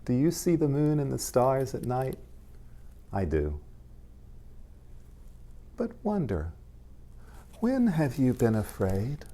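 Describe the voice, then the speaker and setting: A man, a pastor, reading aloud to young children in gentle, simple language and addressing them directly. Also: mid-range voice, huge pitch swings, slow pace, long pauses.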